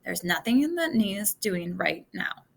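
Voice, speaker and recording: A woman voicing an easygoing adult male sailor in a storybook, calm and reassuring in manner. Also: medium pitch, slow, creaky, heavily acted. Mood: calm reassurance.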